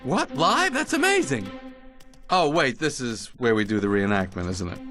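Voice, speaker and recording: Bright, excitable young bee hero. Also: male voice, mid-range voice, moderate pace, soft background music. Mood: casual, wry. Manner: casual, stammering, self-deprecating quips. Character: earnest young male bee, plucky wisecracking cartoon hero.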